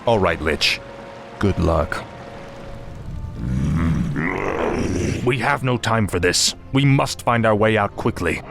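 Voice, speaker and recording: An actor playing a grim, weakened dark king in a fantasy game, terse, stern and determined. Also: fairly low pitch, expressive, unhurried, vocal fry, over music.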